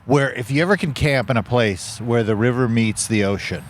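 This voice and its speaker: Easygoing man with halting speech. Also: mid-range voice, slight background noise. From California. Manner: easygoing, halting, lots of fillers. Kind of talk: casual chat. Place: outdoors in a park. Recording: faint outdoor ambience.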